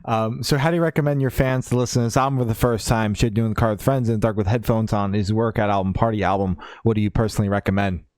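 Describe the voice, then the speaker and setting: A man hosts a casual music podcast, firing off questions at a brisk clip. His voice sits a bit low and sounds dry and close, with no room echo. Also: fairly even intonation, darkish tone. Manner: laid-back, enthusiastic interjections. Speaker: podcast host.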